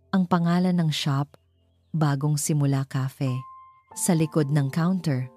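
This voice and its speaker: Filipino-accented woman, low-pitched, scripted romance narration, storyteller, music behind. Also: mellow.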